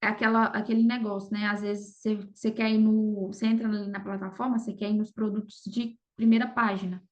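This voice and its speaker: A woman's voice: medium pitch, little pitch movement, fairly quick. A woman with a Brazilian Portuguese accent giving casual advice as a podcast guest, informal, often checking that she is understood, on slightly lo-fi audio. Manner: informal, frequent check-in tag questions. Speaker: podcast guest, affiliate marketer.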